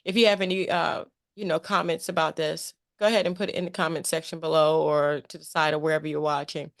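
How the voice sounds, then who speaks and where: A woman's voice: low voice, level tone, fast talker. A woman hosting a casual interview in a chummy, emphatic way, often repeating phrases for emphasis. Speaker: interview show host.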